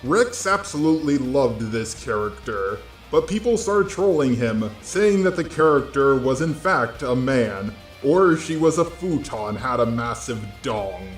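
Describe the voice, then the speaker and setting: A man delivering scripted online commentary in a blunt, informal way, speaking straight to his viewers. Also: medium pitch. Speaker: host of online drama commentary videos. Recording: roomy echo with music underneath.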